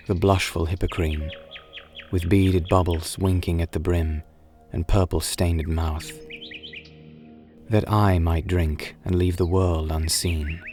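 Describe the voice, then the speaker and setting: A man giving a dramatic poetry reading in a solemn, measured, wistful manner, drawing out his phrases. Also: low voice, a little mellow. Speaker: poetry reader and narrator. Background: music and birdsong.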